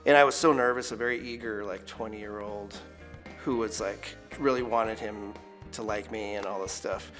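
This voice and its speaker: A man in his forties, a recording studio owner, talking in a relaxed, rambling way with many fillers in a documentary interview. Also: fairly low pitch, moderate pace, faint music behind. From United States.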